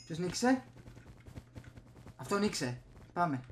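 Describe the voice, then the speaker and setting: A man's voice: sits high, big pitch swings, a little hiss or hum. A young man giving casual gameplay commentary, muttering and reacting in short bursts. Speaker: gaming streamer. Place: small room indoors at home. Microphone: desk USB microphone.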